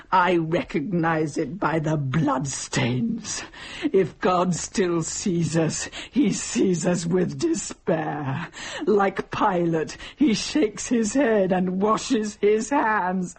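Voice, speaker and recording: An actress playing a starving, embittered village widow and mother near death in an audio drama, speaking mournfully and solemnly with a husky voice. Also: mid-range voice, a little mellow, heavily acted. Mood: weary resignation.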